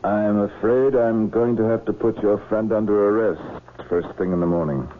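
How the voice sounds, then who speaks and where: Low-voiced weary male police inspector, acted. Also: moderate pace, close to an everyday voice. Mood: businesslike. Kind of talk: vintage radio drama acting. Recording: tinny, faintly hissy old radio recording.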